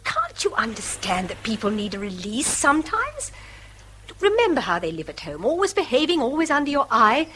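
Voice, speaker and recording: An actress playing a weary, wistful English wife with a defiant streak, in an emphatic British radio drama style. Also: female voice, high-pitched, huge pitch swings, normal speed, pushed and bright, slight background noise, heavily acted. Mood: indignant, defiant. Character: weary wife Mary, wistful, quick to defy her husband.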